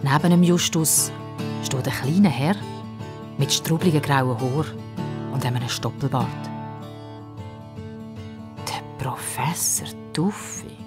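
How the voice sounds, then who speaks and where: A woman's voice: extremely low pitch, moderate pace, pauses often, over music. A woman narrating a children's story expressively from a script.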